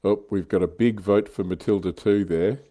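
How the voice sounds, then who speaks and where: A man's voice: on the low side, steady tone, normal speed, warm tone. A man chatting casually as a livestream host, with a wry, joking manner and blunt slang. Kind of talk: casual hobby chat and commentary.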